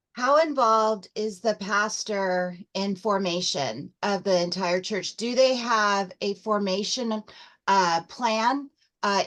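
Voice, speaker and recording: A middle-aged woman who has long worked in the church, asking a thoughtful question in an online meeting and pausing to find her words. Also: mid-range voice, a bit bright.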